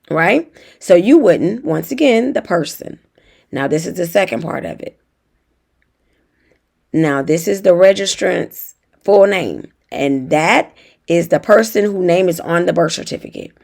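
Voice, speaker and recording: A young woman with a hoarse, raspy voice from feeling unwell, walking viewers through a form in a chatty tutorial. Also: a little mellow. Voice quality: raspy, deeper than usual. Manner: chatty, talks straight to viewers. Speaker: notary tutorial host. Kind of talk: casual tutorial walkthrough.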